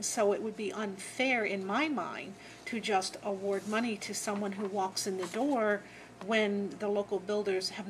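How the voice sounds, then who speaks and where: Measured woman official speaking at a meeting. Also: mid-range voice, rough recording. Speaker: local government official at a meeting. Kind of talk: formal meeting remarks. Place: indoor public meeting room. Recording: faint background noise.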